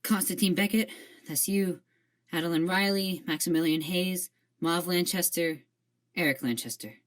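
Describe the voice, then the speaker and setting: A woman's voice: fairly low pitch, normal speed, natural voice. A woman playing an uneasy young officer. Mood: accusing. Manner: anxious, blunt. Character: young female security officer, nervous then sharp. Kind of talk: amateur audio drama.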